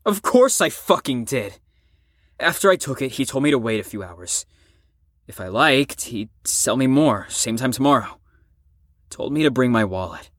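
A young man acting a troubled, self-loathing teenage ex-addict in an emotional audio drama monologue, halting and profane. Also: medium pitch, very animated, a bit bright, no room sound, clean studio sound. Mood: sickened, confessing. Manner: emotional, halting, profane, swings between bitter and impassioned. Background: music under the voice.

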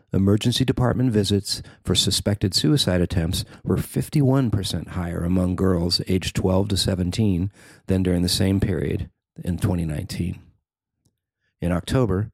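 A man's voice: fairly low pitch, creaky, darkish tone, dry recording. A middle-aged man reading a scripted personal feature as its narrator. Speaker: writer and narrator.

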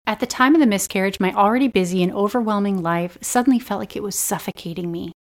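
A woman in her thirties talks straight to her listeners in a self-help podcast. Her voice is a little raspy and dry today, at a middle pitch. She sounds earnest, gentle and encouraging throughout. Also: fast. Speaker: podcast host.

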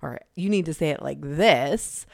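Woman, thirties, podcast host, casual reflective chat, laughs often, acts out her own thoughts, lowish smooth voice, dry close recording. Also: expressive, a little slow, a little mellow, no echo. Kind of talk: casual solo podcast talk.

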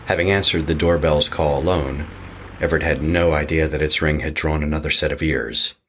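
A man narrates a scripted horror tale. His voice is exceptionally low, with a husky, rough grain throughout. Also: fairly even intonation, brisk, a bit bright.

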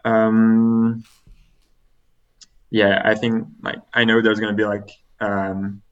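A man's voice: fairly low pitch, completely flat delivery, slow, clean tone, highs cut off. A young Belgian man, a native French speaker talking English with a French accent, answering interview questions hesitantly with many fillers. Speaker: triathlete guest.

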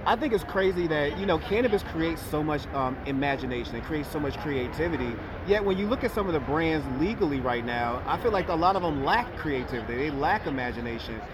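A man hosting a podcast, interviewing a guest with upbeat, quick affirmations. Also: on the high side, expressive. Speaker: podcast host. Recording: street and traffic noise.

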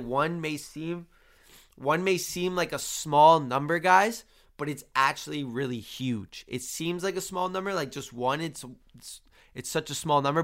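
A man's voice: medium pitch, a bit bright. A congested, slightly tired man with a nasal voice, hosting a motivational fitness podcast in an earnest, repetitive pep-talk manner. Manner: earnest pep talk, repetitive emphasis, often addressing listeners as guys. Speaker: host and fitness coach.

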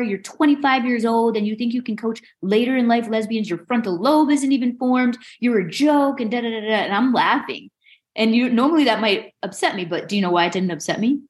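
A woman's voice: a little high, lively intonation, a little fast. A forty-year-old woman, a coach being interviewed, chatting frankly and informally. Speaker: mindset coach, interview guest. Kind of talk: casual interview chat.

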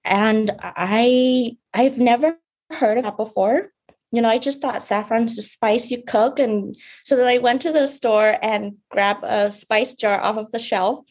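Young woman from Vietnam, beauty founder; soft, unhurried guest storytelling with small laughs. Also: a little high. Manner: soft-spoken, fillers and restarts, light laughs. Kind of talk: casual interview talk.

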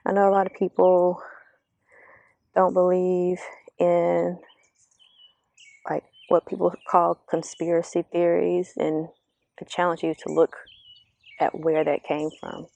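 A woman giving a reflective, earnest motivational talk outdoors, speaking slowly and asking the listener questions, her voice slightly raspy. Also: fairly low pitch, little pitch movement, dark tone. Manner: slow, earnest, coaching, asks the listener questions. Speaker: vlogger giving spiritual encouragement. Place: outdoors among birds. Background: birdsong.

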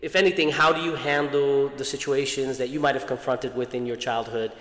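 A man's voice: mid-range voice, flat delivery, brisk, a bit bright. Man interviewing in an echoey room. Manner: supportive, repeats words as he restarts. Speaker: school staff interviewer. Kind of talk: interview, informational outreach.

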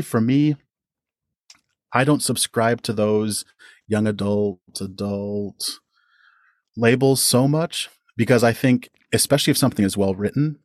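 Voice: medium pitch, dry recording, studio quality. A guest of about thirty giving thoughtful, articulate and enthusiastic answers in a conversational interview. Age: around 30. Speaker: interview guest, audio drama podcast creator.

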